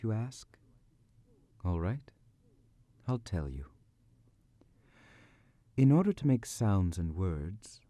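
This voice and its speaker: A man playing a solemn voice artist explaining his craft dramatically, in ornate, deliberate, hushed phrases. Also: lowish voice, no echo.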